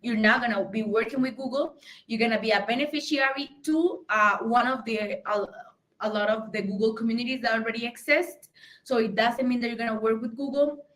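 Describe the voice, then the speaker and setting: A woman's voice: fairly high pitch, a little fast, a bit bright. A young adult woman from Colombia with a Colombian accent, Spanish her first language, giving an informal tech talk at a conference with many fillers and restarts. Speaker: conference speaker.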